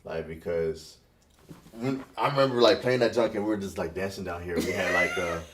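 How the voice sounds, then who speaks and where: A man's voice: deep voice, normal speed, recorded from a distance. A young man hosting a casual podcast interview, talking in a hesitant way full of "like" fillers. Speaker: podcast host and blog writer.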